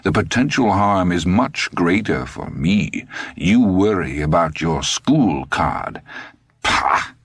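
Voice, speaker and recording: A man voicing a sinister old man in his seventies who speaks slowly, measured and coldly patient. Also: low voice, big pitch swings, husky, no echo, heavily acted. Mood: menacing. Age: 70s.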